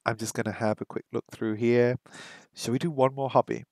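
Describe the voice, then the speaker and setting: A man's voice: mid-range voice, fairly even intonation, gravelly, darkish tone, no room sound, clean studio sound. Chatty man on a headset mic. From English first language, lives in Hong Kong. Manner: chatty, repeats Japanese phrases slowly to practise. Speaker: streamer learning Japanese. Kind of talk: casual live-stream chat. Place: small home room by a window.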